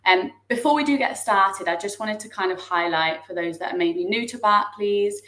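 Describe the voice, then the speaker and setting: A woman's voice: mid-range voice, normal speed, a bit bright. A woman hosting an online webinar over video call, introducing the guest and politely reading out a disclaimer. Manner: polite, reads out a formal disclaimer. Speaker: webinar host. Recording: echoey room audio.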